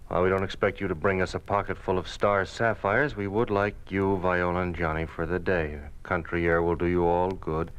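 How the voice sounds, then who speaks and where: An actor playing an earnest, steady grown son in an old-time radio drama, speaking in a measured, reassuring way. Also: male voice, low voice, clean tone, muffled recording, close to an everyday voice. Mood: warm, reading aloud.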